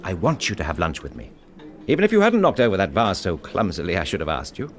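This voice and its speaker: An actor playing a brooding, brusque middle-aged widowed gentleman in a radio drama, with a clipped, commanding, well-bred manner. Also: low voice, very animated, fast talker. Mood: brisk, insistent.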